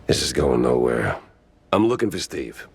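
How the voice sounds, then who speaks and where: Deep-voiced, world-weary mouse detective. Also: male voice, sing-song, normal speed, gravelly, slightly rough, exaggerated voice. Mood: dry, awkward. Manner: dry, sardonic, hesitant asides. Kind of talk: 1930s noir detective acting.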